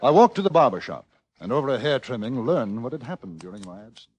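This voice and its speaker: Casting: frontier correspondent, medium pitch, lively intonation, polite and formal, old-time radio western. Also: male voice, quick pace, husky, no room sound. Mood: measured narration. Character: courteous British newspaper correspondent in the Old West. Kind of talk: old-time radio drama narration and dialogue.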